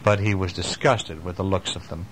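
An older man reading a children's book aloud at home and chatting gently with a child between passages. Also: sits low, steady tone, normal speed, a little hiss or hum, low-quality recording. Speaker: father reading a bedtime story. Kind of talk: bedtime story reading to a child.

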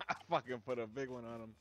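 A man's voice: mid-range voice, very noisy. A man chatting casually while playing a multiplayer game, joking and swearing. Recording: loud gunfire over game voice chat.

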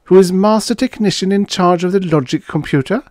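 A man's voice: on the high side, sing-song, normal speed, a little mellow, no echo, clean studio sound, pitched above the performer's own voice. Guy reading as a cold defiant lady scientist for an audiobook, voice lifted way up, clipped n suspicious. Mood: startled, insistent. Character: proud, guarded foreign woman scientist, coldly defiant.

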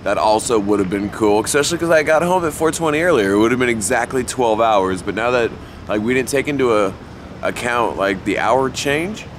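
Male vlogger, 30s, American, mid-pitched, loose and slangy delivery, recorded outdoors with clear background noise. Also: animated, fairly quick. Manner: laid-back, slangy, sweary. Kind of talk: casual chat to camera. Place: outdoors at night at gas stations and parking lots. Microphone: handheld camera at about arm's length.

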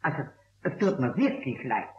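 An actor playing a fretful, apologetic middle-aged caretaker in an old radio play. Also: male voice, mid-range voice, very animated, moderate pace, natural voice. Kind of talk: 1960s radio play acting. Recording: dull echoey old radio recording.

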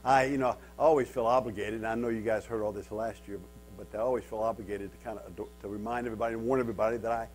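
A man's voice: fairly low pitch, fairly even intonation, rapid-fire, a little hiss or hum. A man from Kansas in his fifties, a guest speaker telling stories to a men's retreat in a church hall, stuttering, with many repeats and self-mocking humor. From Kansas, USA. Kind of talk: storytelling talk to a men's retreat. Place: wood-paneled church hall with a seated audience.

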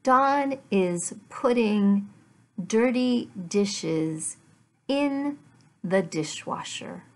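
A middle-aged woman teaching English to learners, speaking deliberately in short, carefully enunciated phrases with pauses between them. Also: mid-range voice, animated, darkish tone. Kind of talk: slow language lesson for learners. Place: small living room at home, indoors.

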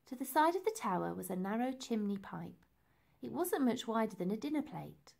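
A woman's voice: fairly high pitch, sing-song, moderate pace, creaky, a little mellow. A woman reading a children's story aloud as narrator, with dramatic, emphatic phrasing. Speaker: storybook reader.

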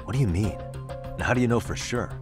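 A man's voice: on the low side, fast, music in the background, close to an everyday voice. An actor playing a guarded, determined private detective in his thirties in a video game. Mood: questioning. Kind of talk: video game cutscene dialogue.

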